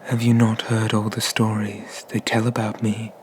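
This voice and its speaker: A man voicing an ancient, haughty and menacing dragon god of the sea in a breathy, pompous whisper for an ASMR roleplay. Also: fairly low pitch, monotone, a little hiss or hum, close to an everyday voice. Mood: mocking, menacing. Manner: slow, pompous, condescending, with drawn-out pauses.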